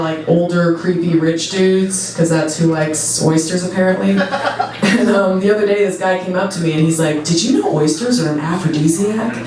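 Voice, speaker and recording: Woman in her thirties, deep voice, stand-up comic, echoey club, heard from a distance, laughing crowd. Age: 30s. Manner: laid-back, giggly, lots of fillers. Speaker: stand-up comedian. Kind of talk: stand-up set. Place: comedy club stage. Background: audience laughing.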